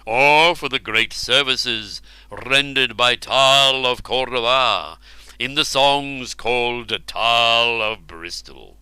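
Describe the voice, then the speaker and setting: A man voicing a commanding, mighty king in a storybook reading, speaking slowly and weightily. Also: mid-range voice, pushed and bright, smooth, no echo, low-quality recording. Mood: stern. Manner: slow, weighty and measured.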